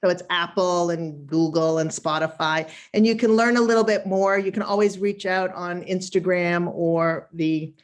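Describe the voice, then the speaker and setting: A woman's voice: on the low side, little pitch movement, moderate pace, few pauses. A woman with a Boston accent speaks as a wardrobe stylist on a video call. Her delivery is chatty and emphatic, and she laughs easily. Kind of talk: casual live webinar talk.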